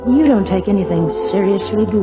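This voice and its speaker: An actress playing a warm, composed young woman in a 1940s radio drama. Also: mid-range voice, animated, a little fast, close to an everyday voice. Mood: light, playful. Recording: dull lo-fi 1940s radio recording, music behind.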